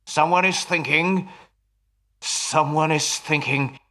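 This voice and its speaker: A man playing a grave, earnest stage telepathist in a radio play, with a deliberate, courteous and dramatic manner. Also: fairly high pitch, steady tone, noisy background. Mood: urgent, grave.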